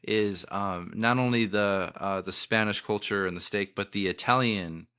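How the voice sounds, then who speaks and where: Bright-voiced male podcast host, low-pitched. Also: moderate pace, smooth, dry recording, studio quality. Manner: hesitant, many fillers. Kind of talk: hosting an interview podcast.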